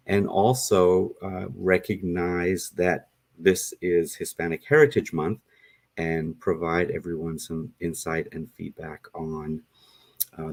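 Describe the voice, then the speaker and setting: A man hosting a podcast interview, speaking in a measured way with some fillers. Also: sits low, a little mellow. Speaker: podcast host.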